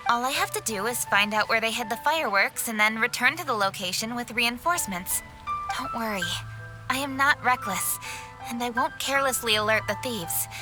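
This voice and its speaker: A woman's voice: on the high side, close to an everyday voice. An actress playing a stern, duty-bound young woman official in a video game, firm and composed.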